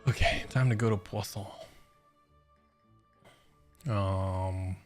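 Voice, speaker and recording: A man's voice: low-pitched, fairly even intonation, takes it slowly, soft background music. A man streaming a game live with casual, rambling, jokey commentary in a laid-back way.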